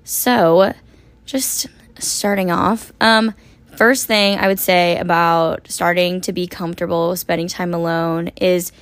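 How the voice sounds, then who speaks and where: A young woman in her twenties hosting a casual solo podcast, her voice hoarse and raspy from a long shift, rambling chattily with lots of "like". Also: fairly low pitch, dry recording. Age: 20s. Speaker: podcast host.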